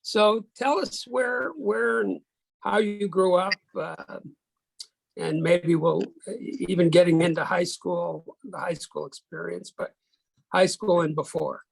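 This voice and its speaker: Male interviewer, heavy creak, husky, high-pitched for a man, informal delivery. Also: fairly even intonation, takes it slowly, dry recording, rough recording. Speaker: interviewer, host.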